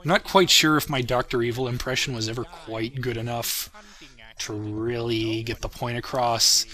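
Bright-voiced man doing casual commentary. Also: medium pitch, steady tone, normal speed. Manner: self-deprecating, chuckling, swearing. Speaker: creator commenting on own parody series.

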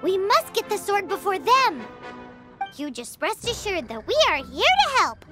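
Bubbly tiny fairy sidekick for a game: very high squeaky childlike voice, wildly swooping, boastful. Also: female voice, very animated, unhurried, exaggerated voice. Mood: eager. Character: tiny excitable childlike floating fairy companion girl. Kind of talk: video game voice acting. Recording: game music behind the voice.

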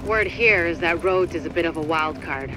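A woman's voice: on the low side, moderate pace, heavy background noise, lightly acted. A woman playing a composed, dryly wry commander who gives businesslike mission briefings in a video game. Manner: businesslike, dry.

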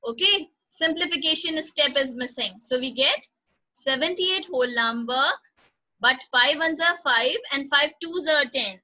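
A woman's voice: sits high, measured pace, bright, forceful tone, low-quality recording. A female teacher, leading an online class, encouraging students by name and repeating instructions. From Pakistan.

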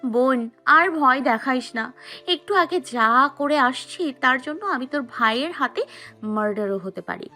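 A woman's voice: high voice, expressive, a little slow, bright, forceful tone, faint music behind, full character voice. A woman voicing a cheeky, flustered college girl who blurts and bickers dramatically in an audio story. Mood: exasperated, scared. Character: cheeky, flustered young female college student, the heroine.

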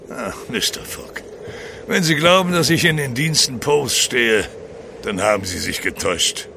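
An actor playing a gruff, driven, world-weary ship's captain in a radio drama. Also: male voice, mid-range voice, expressive, measured pace, background noise. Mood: guarded.

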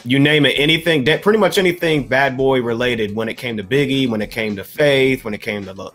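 A man's voice: medium pitch, a little fast, fairly bright tone, soft background music. A man co-hosting a casual hip hop podcast, laid-back and saying "uh" and "yep" a lot. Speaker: podcast co-host.

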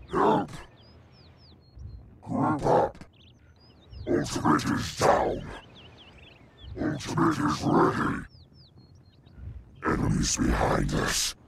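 Monstrous gravelly deep dark dragon. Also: male voice, noisy background, exaggerated voice. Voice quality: deep, gravelly growling roar. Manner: haughty, theatrical, deliberate. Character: huge menacing male dark dragon, haughty and mocking. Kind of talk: video game character voice lines.

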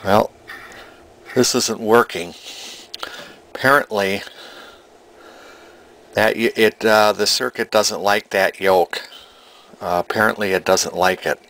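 A man informally narrating a repair demonstration, halting and thinking aloud as he goes. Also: lowish voice, fairly even intonation, fairly bright tone, a little hiss or hum. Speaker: hobbyist electronics restorer. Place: cluttered indoor workshop.

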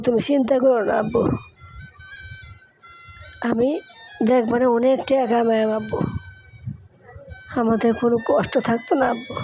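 A woman's voice: fairly high pitch, darkish tone, close to an everyday voice, rough recording. A woman voicing an apologetic, devoted young son in a cartoon moral story, pleading earnestly. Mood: reassuring.

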